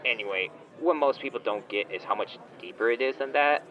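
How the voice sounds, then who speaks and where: A man's voice: mid-range voice, fairly quick, close to an everyday voice. A man playing a smug, entitled online shop owner on a phone call in a comedy audio drama, whiny, condescending and petulant in casual slang. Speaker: caller, complaining customer.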